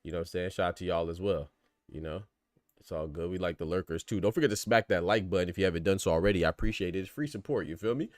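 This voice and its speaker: A man's voice: low voice, fast talker, a little mellow, dry recording, studio quality. A man from the United States hosting a boxing podcast, giving casual, opinionated livestream commentary in colloquial, conversational speech.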